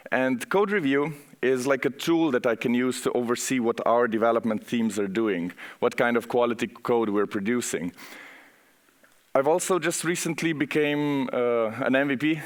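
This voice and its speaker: A man's voice: medium pitch, steady tone, moderate pace. Slovenian-accented man giving a conference presentation. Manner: informal, conversational, addressing the audience directly. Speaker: conference speaker, software architect. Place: conference session room, on stage.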